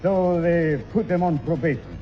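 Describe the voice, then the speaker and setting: A man's voice: on the high side, moderate pace, lo-fi sound, soft background music, lightly acted. An actor playing a crafty, exasperated old grandfather with a Scottish accent, scheming and insistent in a 1960s radio sitcom. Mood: earnest bluffing. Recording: band-limited old radio recording.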